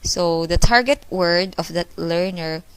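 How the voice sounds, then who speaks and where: A woman's voice: on the low side, a little slow, clean tone, no room sound, poor audio. A young woman student giving a class report, explaining each point again with simple examples. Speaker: student presenter.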